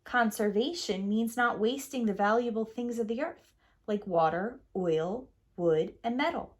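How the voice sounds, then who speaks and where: A woman teacher reading a picture book aloud to young children in an expressive, clearly phrased storytelling manner. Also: on the high side, measured pace.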